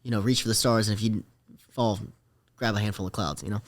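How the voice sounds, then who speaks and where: A young man chatting casually as a podcast guest, easygoing and trailing off softly at the ends of his thoughts. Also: lowish voice, steady tone, fast. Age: young adult. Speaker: podcast guest, rodeo rider. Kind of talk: casual interview chat.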